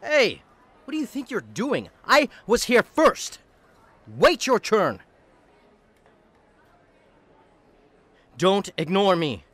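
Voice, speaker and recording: A man's voice: sits high, sing-song, slow, a bit bright, no echo, exaggerated voice. A man playing a bitter man everyone ignores. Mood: indignant. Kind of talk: radio drama acting.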